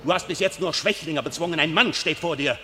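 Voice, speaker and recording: A man's voice: a little high, fairly quick, bright, forceful tone. A man playing a proud noble duke, declaiming verse with furious force in a classic radio play. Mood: scornful. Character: proud noble male duke, wrathful warrior.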